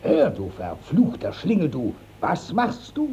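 Gravelly, hot-tempered old peasant father. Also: fairly high pitch, wildly swooping pitch, a little slow, dark tone, full character voice. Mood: angry threatening. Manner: scolding, threatening. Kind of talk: classical German stage-style radio acting. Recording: dull 1950s radio drama recording.

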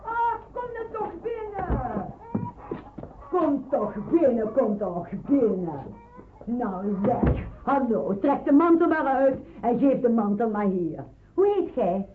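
A muffled old radio recording with faint noise. a hearty farmer's wife, motherly and welcoming, chattering away in a fairly high, swooping voice. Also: sing-song, slow pace. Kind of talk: Dutch radio play acting.

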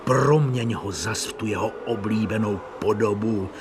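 A man's voice: lowish voice, background noise, lightly acted. An actor playing a wrathful man solemnly invoking spirits, in declamatory radio-drama style. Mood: wrathful, imploring. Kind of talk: declamatory theatrical recitation.

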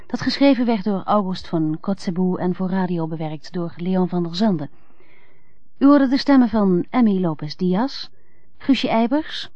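A dry, slightly dull radio recording of a woman announcer. She reads scripted closing credits in a mellow voice of medium pitch. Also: no echo.

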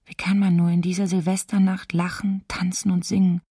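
A woman's voice: on the low side, little pitch movement, brisk, warm tone, husky, no echo, clean studio sound. An actress playing a lonely, fearful woman diarist in wartime winter, sombre and restrained, in a documentary radio play. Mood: bleak, resigned. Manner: sombre, restrained, short plain sentences.

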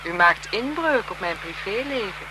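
An actress playing a self-possessed, flirtatious marquise in a 1970s Dutch radio play, speaking formally and teasingly. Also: female voice, medium pitch, animated, moderate pace, close to an everyday voice. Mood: coy, teasing. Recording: 1970s radio drama with noisy background.

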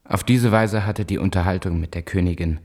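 A man playing a proud, resolute emperor recalling negotiations in a husky, measured voice for a radio drama. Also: low-pitched, a little mellow. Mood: resolute, stern. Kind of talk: dramatized reading of historical memoirs.

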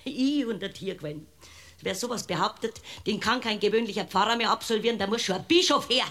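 An actress playing an indignant, gossipy parish housekeeper with a rural Bavarian accent in a radio drama, garrulous and self-righteous. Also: female voice, medium pitch, animated, a little fast, fairly bright tone. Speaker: actor. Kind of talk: German radio drama acting.

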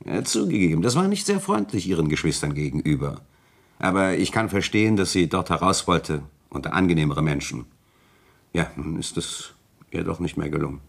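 A man's voice: deep voice, expressive, a little fast, vocal fry, exaggerated voice. An actor playing a courteous, talkative village notary in a German radio play, polite and formal in his lawyerly wording. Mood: confiding, explanatory.

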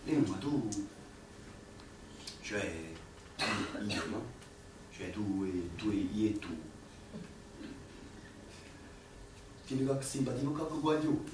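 An actor playing an earnest young Neapolitan man in a radio drama, gentle and self-mocking. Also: on the low side, slow, long pauses. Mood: hesitant. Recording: echoey, distant, noisy.

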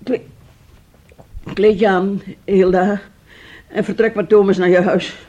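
An actress in a radio drama playing a distraught, tearful mother abandoned by her daughter, speaking haltingly and repeating her words. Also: mid-range voice, flat delivery, mellow, dull audio, lightly acted. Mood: urgent, resigned.

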